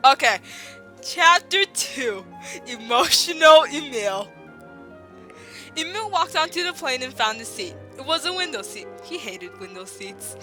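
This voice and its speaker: A young woman with a slight lisp reads a story aloud over a voice call, high and bright, her voice leaping all over the place. She keeps cracking up and swearing as she goes. Faint classical music plays underneath. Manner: breaks into laughter, swears, fast excited delivery. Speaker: reader of a fan fiction. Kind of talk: giggly casual dramatic reading. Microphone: voice call microphone.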